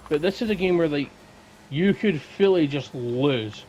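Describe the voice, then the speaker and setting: A man's voice: a little high, lively intonation, a little slow, a little mellow, slight background noise, rough recording. A man with a Scottish accent telling casual anecdotes as a guest on a podcast.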